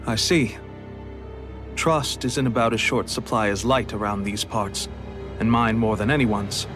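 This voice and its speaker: An actor playing a wary rebel leader in a game, speaking in a dry, measured way with soft music underneath. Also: male voice, on the low side, fairly even intonation, natural voice. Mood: earnest. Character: wary male rebel leader, middle-aged. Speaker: resistance leader. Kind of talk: video game voice acting.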